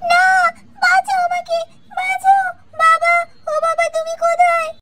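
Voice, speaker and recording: Squeaky, extremely high little girl. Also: little pitch movement, a little slow, sharp tone, full character voice. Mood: terrified pleading. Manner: whining, pleading cries. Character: frightened little girl doll calling for her parents. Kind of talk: doll-play story acting. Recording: very noisy, hissy track.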